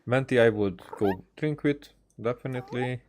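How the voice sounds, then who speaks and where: A man giving casual, rambling game commentary as a streamer, speaking haltingly and often repeating and restarting his phrases. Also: medium pitch, background noise. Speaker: livestreamer playing a game.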